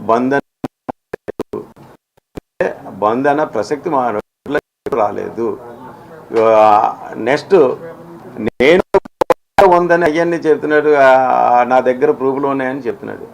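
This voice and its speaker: Man, mid-pitched voice, unscripted press statement, halting, repetitive, emphatic, argumentative. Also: vocal fry, slight background noise, rough recording. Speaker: film exhibitor speaking on industry dispute.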